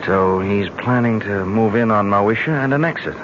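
A man's voice: lowish voice, moderate pace, lightly acted. A man playing a breezy young newlywed and loyal buddy, talking colloquially and eagerly in a 1970s radio drama. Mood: concerned. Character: hearty young American newlywed, loyal old football buddy. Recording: muffled, very noisy old radio recording.

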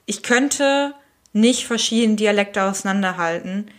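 A woman's voice: medium pitch, unhurried. A woman chats casually and mostly answers her partner. She gives short agreements and thinks aloud about her own habits. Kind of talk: casual chat.

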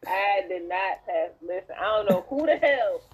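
A woman, chatting loudly, laughing and swearing among friends. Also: medium pitch, moderate pace, husky, rough recording. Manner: loud, laughing, swearing, excitable. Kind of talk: casual chat.

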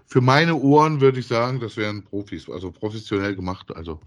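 A man's voice: on the low side, animated, brisk. A man co-hosting a podcast, chatting casually in a rambling, repetitive way as he reviews. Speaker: podcast co-host.

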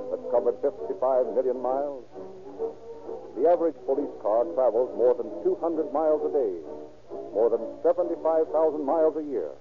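A man doing formal, declamatory scripted announcing and narration in a 1930s radio style, with measured phrasing. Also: a little high. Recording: tinny 1930s radio recording.

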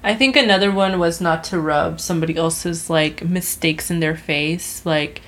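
A young woman with a lowish voice, talking casually and rambling through a story. She stops and restarts often and fills gaps with "like" and "um.". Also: normal speed, a bit bright. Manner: chatty storytelling with many fillers and restarts. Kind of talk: casual chat.

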